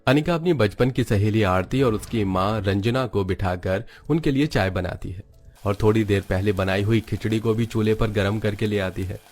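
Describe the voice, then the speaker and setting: A man's voice: lowish voice, moderate pace, darkish tone, faint music behind. A man narrating a Hindi cartoon story in a scripted storytelling style.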